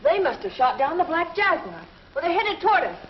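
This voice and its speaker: An actress playing an anxious, excitable young space adventurer in a 1950s television serial. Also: female voice, very high-pitched, a little fast. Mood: alarmed. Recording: echoey, muffled, noisy 1950s TV soundtrack.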